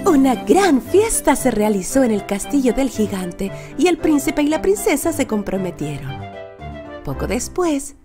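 A woman narrating a children's fairy tale in an expressive, dramatic storytelling manner. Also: sits high, moderate pace, over music.

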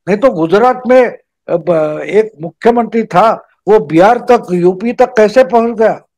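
High-voiced older man arguing emphatically. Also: a little mellow. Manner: emphatic, repeats key phrases, lists names. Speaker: political commentator guest. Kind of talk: video call interview, explanatory argument. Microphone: video call.

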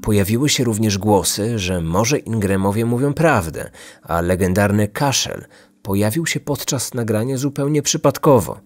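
A man narrates a true crime podcast from a script. His voice sits low, with music playing behind it. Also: animated, normal speed.

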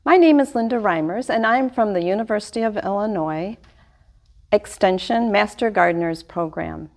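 A middle-aged woman giving a lively science lesson to young children, filmed indoors by a fixed camera. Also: mid-range voice, normal speed, creaky. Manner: animated, speaks to young kids. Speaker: extension master gardener presenter. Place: small indoor room before a wall display.

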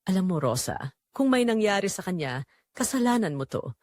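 A woman's voice: mid-range voice, sing-song, moderate pace, darkish tone, no room sound, clean studio sound, natural voice. A woman voicing a cold, accusing in-law in an audio drama. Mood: cold accusation.

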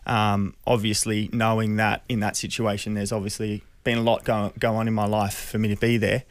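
An Australian man in his thirties telling a personal story in an interview, earnest, hesitant and measured, close on a studio mic. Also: on the low side, little pitch movement, no room sound. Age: 30s. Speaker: radio interview guest. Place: small radio studio. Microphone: close studio microphone.

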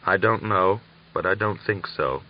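A man's voice: low-pitched, measured pace. Man reading Natalia, a prim, guarded young wife. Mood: evasive. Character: Natalia, modest, reserved young Cossack wife. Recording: dull lo-fi audiobook recording.

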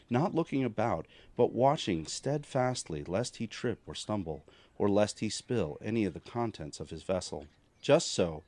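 Man reading devotional counsel with lively intonation. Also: on the low side, no room sound. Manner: measured, formal, instructive reading. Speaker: narrator reading a devotional text. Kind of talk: scripted narration of spiritual counsel.

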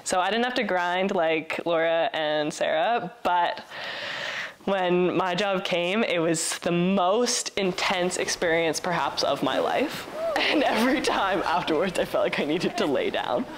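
A young woman with a lowish voice speaks candidly to the camera from a small room indoors. She talks about her sailing crew job in a reflective way and laughs easily at herself. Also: animated, unhurried, background noise. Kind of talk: interview to camera.

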